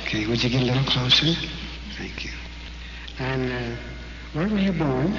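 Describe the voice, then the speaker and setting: A man's voice: mid-range voice, lively intonation, moderate pace. A man interviewing a guest on stage with friendly, prompting questions. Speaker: interviewer at a convention. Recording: dull, hissy recording in an echoey hall.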